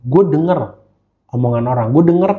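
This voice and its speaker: A man's voice: a little high, normal speed, narrow, tinny audio. An Indonesian man in casual podcast conversation, talking slangily and slipping English phrases into his speech. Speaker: guest gemologist who hosts live streams.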